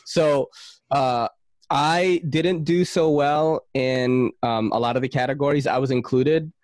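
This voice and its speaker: A man hosting a podcast, talking in a relaxed, rambling way with many fillers and casual swearing. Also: medium pitch, unhurried, dry recording. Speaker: podcast host.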